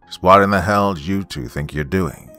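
A man voicing a burly, stern steelworker father in a booming, gruff voice, as in a told story. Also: normal speed, pushed and bright. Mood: stern, angry. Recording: music behind the voice.

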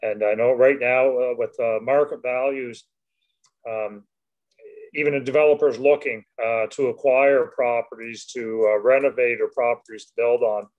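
Halting Canadian councillor on a call. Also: male voice, medium pitch, steady tone, darkish tone, dull audio. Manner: halting, many uh fillers. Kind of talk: council meeting remarks. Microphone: video call.

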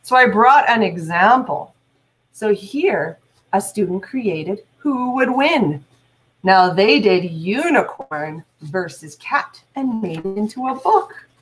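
A woman, a teacher reading aloud and talking deliberately to young listeners. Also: medium pitch, lively intonation, poor audio. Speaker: teacher and read-aloud host. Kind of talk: read-aloud and instructional talk to children. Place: small indoor room at home.

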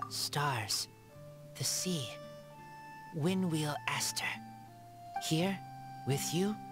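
A woman playing a shy, gentle, loyal feral boy raised by wolves in a video game, speaking in halting broken sentences. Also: very deep voice, exaggerated voice. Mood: halting, content. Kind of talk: video game character dialogue. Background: game background music.

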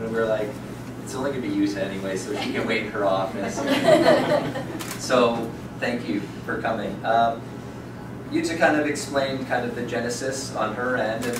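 A man casually addressing a live audience as a director, informal and loosely phrased. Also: medium pitch. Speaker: theatre director introducing a reading. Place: small indoor event room, speaking from stage. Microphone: far camera. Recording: distant, echoey, noisy room recording.

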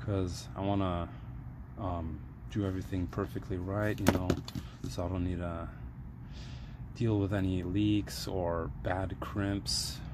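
A man's voice: deep voice, level tone, background noise. A man casually walking through a DIY plumbing job on his phone camera, with lots of ums and uhs. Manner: unhurried, many ums and uhs, hands-on explaining. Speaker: DIY repair vlogger. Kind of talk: casual how-to walkthrough. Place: indoors, cramped space under a sink. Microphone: handheld phone camera, first-person view.

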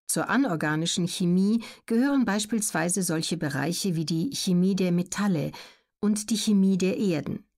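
Measured woman narrating an audiobook. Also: lowish voice, darkish tone. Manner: measured, clearly articulated, factual. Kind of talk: scripted educational narration.

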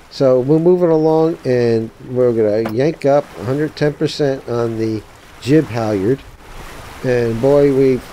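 A man presenting an unscripted how-to commentary, halting as he thinks aloud. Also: mid-range voice, low-quality recording. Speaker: tutorial presenter. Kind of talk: unscripted how-to commentary over a sailing game. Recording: steady sea and water noise behind. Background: sailing game sounds of boat and water.

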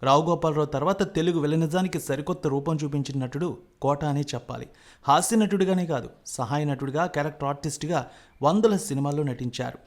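A man's voice: on the high side, a little fast. A man presenting scripted news narration in a formal, emphatic delivery.

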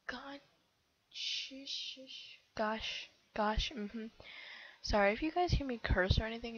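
Teen girl gamer, low husky voice, rambling commentary, muffled computer mic. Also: a bit bright. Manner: rambling, giggly, self-chattering with many fillers. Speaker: game video creator. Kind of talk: casual gameplay commentary.

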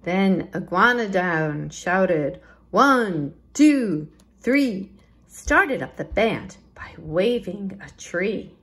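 A woman reading a children's picture book aloud as a storytime librarian, expressive and deliberate, leaning into the rhymes and rhythm. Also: mid-range voice.

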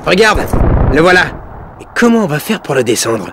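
A man's voice: on the high side, sing-song, moderate pace, noisy background. An actor voicing a cocky young male hero who jokes and talks back. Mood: doubtful. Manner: brash, joking.

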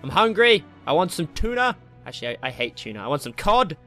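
A young man with a British accent chats through a video game in a bright, forceful, slightly high voice. He rambles casually and jokes along, with faint music behind him. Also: sing-song, fairly quick. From Britain. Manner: rambling, easily distracted, jokey. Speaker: gaming video host. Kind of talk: casual gameplay commentary.

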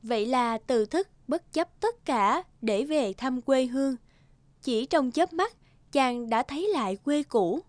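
High-voiced woman narrating a folktale. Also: expressive, a little slow, no echo.

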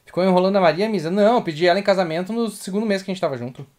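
A man's voice: sits high, rapid-fire, darkish tone, a little hiss or hum. A man with a southern Brazilian accent chatting casually and bluntly as co-host of a livestream. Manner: blunt, colloquial. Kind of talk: casual commentary chat. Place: small home room indoors, webcam livestream.

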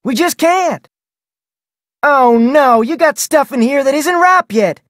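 A folksy Western ranch cook for a mystery game. The delivery swings up and down in pitch, full of homespun phrasing, on a dry studio recording. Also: lively intonation, slow, a bit bright, no echo, exaggerated voice. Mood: scolding. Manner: folksy, colloquial Western talk. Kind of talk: video game character voice lines.

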